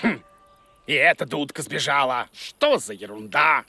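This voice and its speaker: A man's voice: on the high side, very animated, measured pace, loud music behind the voice. A man voicing a grumpy, bumbling bearded creature who teaches children in a cartoon, in a blustery, muttering manner. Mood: self-important.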